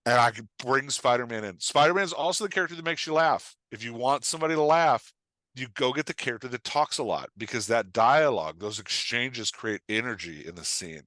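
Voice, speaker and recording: A man's voice: medium pitch, lively intonation, brisk, pushed and bright, no echo. A man talking casually as a podcast guest, explaining with examples in an emphatic, storytelling way and often checking in with his listener. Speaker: podcast guest, writing coach and author.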